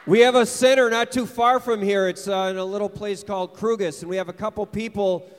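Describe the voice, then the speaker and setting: Very high-voiced man in echoing hall. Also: clear, resonant tone. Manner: energetic, excited, pausing for interpreter. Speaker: touring band leader speaking at church event. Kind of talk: English speech with live interpreter. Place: church hall. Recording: reverberant hall.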